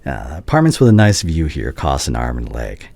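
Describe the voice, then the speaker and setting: A man playing a devoted, regretful doctor and anxious ex-boyfriend in an intimate ASMR audio roleplay, speaking haltingly and tenderly. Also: a bass voice, huge pitch swings, gravelly, darkish tone, heavily acted.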